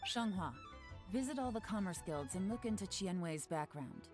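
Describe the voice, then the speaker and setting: A woman voicing a composed intelligence chief in a video game, speaking calmly and with quiet authority over faint game music. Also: medium pitch, normal speed, close to an everyday voice. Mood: commanding. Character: cool-headed woman spymaster giving orders. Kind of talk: game voice acting.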